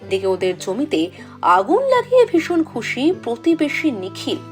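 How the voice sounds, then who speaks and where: Woman narrator for a cartoon fairy tale, high voice, lively swooping delivery. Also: normal speed, soft background music. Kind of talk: scripted storybook narration.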